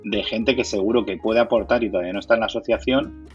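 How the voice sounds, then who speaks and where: A man's voice: lowish voice, steady tone, fast, highs cut off, soft background music. A man from Spain with a Castilian accent, answering interview questions conversationally, sometimes repeating words as he searches for phrasing. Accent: Castilian Spanish. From Madrid, Spain. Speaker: interviewee, board game community organizer.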